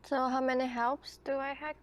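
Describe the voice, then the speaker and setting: A woman's voice: high voice, level tone, normal speed. A woman talking casually as a player in a tabletop roleplaying game.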